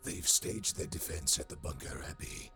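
A cold, calculating adjutant in a sci-fi war drama. His voice is extremely deep and creaky, his delivery formal, detached and exact. Music swells behind him. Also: moderate pace. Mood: clinical briefing. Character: coldly analytical military adjutant, clinical and emotionless man.

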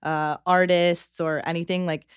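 A young woman in her twenties chats loosely as a podcast guest, her voice sitting low and sounding smooth and clear. She leans on "like" fillers and breaks into quick quoted asides. The sound is close and dry, with no room around it. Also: dry recording. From Connecticut, United States. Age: 20s. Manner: chatty, frequent "like" fillers, quotes herself in lively bursts. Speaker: guest, tattoo artist. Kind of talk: casual conversational interview.

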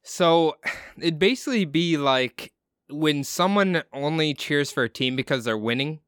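A man's voice: on the high side, animated, measured pace, a bit bright, clean tone, no room sound, studio quality. A man with a Minnesotan accent, co-hosting a podcast and chatting casually, laughing often and asking curious questions. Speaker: podcast co-host. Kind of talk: casual chat over a video call.

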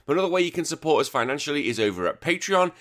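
A man hosting a retro gaming podcast in casual chat, a bit croaky, blunt and joking, swearing freely. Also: fairly high pitch, big pitch swings, racing, a bit bright. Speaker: podcast host.

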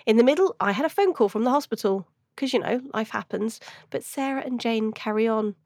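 A woman's voice: fairly high pitch, lively intonation, brisk, darkish tone, dry recording, studio quality. A woman hosting a podcast, introducing the show and her guests with enthusiasm. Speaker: podcast host.

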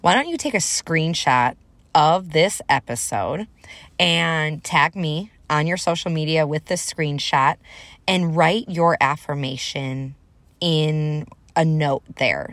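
A woman's voice: sits low, a bit bright, no room sound. A woman in her thirties hosting a solo motivational podcast, speaking straight to her listeners in an encouraging, conversational way. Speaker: podcast host.

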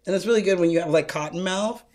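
A woman's voice: fairly low pitch, fast talker, darkish tone. A woman co-hosting a podcast, explaining things in casual, informal talk with swearing. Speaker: podcast co-host. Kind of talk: casual chat.

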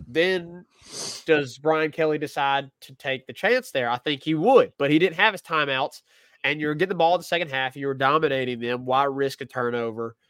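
A man's voice: on the high side, pushed and bright, clear, resonant tone, no echo. A man with a stuffy nose from a cold, co-hosting a casual sports talk show and thinking aloud with lots of restarts. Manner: rambling, self-correcting, thinking aloud. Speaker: podcast co-host.

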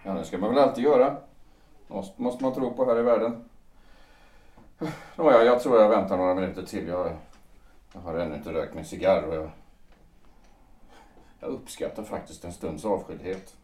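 Give the part of a cynical, vain man of letters in a period radio play, acted by a man. His voice is low and mellow, with wry, musing delivery. It sounds set back from the microphone. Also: pauses often, lightly acted. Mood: sardonic. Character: cynical, vain, world-weary male playwright, teasing about love. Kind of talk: period stage play as audio drama. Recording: roomy echo, distant from the mic.

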